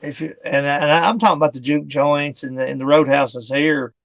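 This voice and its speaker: Fifty-ish male host, slightly high, gravelly, easygoing and laughing, dry dull lo-fi sound. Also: fairly even intonation, normal speed, no room sound. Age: 50s. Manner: laughs often, stammering restarts, easygoing. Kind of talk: casual chat.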